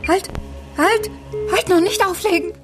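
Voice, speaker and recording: An actress playing a frightened, harassed woman in her forties in a radio crime drama, halting and pleading yet defiant. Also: very high voice, over music. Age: 40s.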